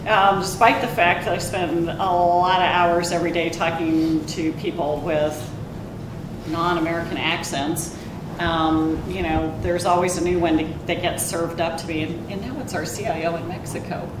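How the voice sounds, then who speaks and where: Woman, executive panelist, frank, echoey, rumble behind. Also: steady tone, moderate pace. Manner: frank, conversational, laughs at herself. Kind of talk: panel discussion remarks. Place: large university event hall. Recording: echoey hall, distant mic, background rumble.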